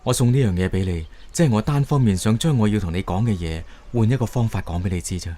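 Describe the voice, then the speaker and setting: A man's voice: lowish voice, fast talker, dark tone, lightly acted. An actor playing a tender, reserved young man in love in a radio drama, with a Hong Kong Cantonese accent. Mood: regretful, loving.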